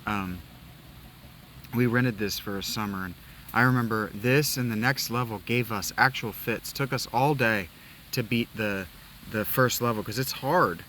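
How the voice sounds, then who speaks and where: A man's voice: fairly low pitch, a little slow, pushed and bright, slight background noise. A man from Ohio giving casual, rambling commentary while playing a video game, reminiscing and swearing casually. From Ohio, USA. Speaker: let's play gamer.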